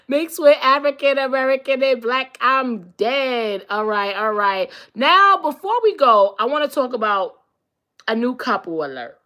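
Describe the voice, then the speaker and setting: A brash woman with a New York accent hosts a live stream, sassy and profane as she answers her viewers. Her voice is bright and sits a little high. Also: lively intonation, unhurried. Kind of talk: casual live-stream chat reading comments.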